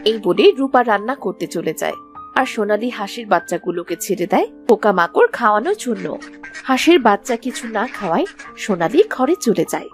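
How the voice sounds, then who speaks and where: A woman's voice: mid-range voice, expressive, fairly quick, faint music behind. A woman narrating a scripted children's story.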